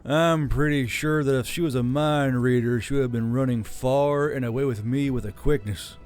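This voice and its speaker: A man voicing a tired, blunt human soldier who murmurs in a low, wry way. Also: normal speed, darkish tone, faint music behind, lightly acted.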